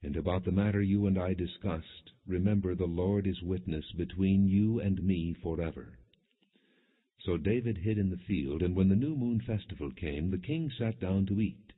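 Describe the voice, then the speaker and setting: A man narrating scripture as an audiobook, reading the story and its quoted dialogue in a measured, expressive way. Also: low-pitched, slightly rough. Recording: muffled narrowband, phone-like audio.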